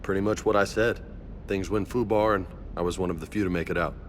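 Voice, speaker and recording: A man as a cocky, low-voiced space marine. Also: steady tone, fast, slight background noise, close to an everyday voice. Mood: guarded. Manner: casual, slangy, brash. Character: cocky, easygoing young male space marine. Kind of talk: video game voice acting.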